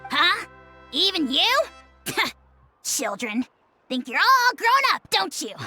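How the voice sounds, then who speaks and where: Tiny shrill pompous dragon creature. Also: very animated, slow, sharp tone, soft background music, heavily acted. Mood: scornful. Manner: pompous, mocking, blustering. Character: tiny boastful scornful dragon lord creature. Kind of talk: video game character acting.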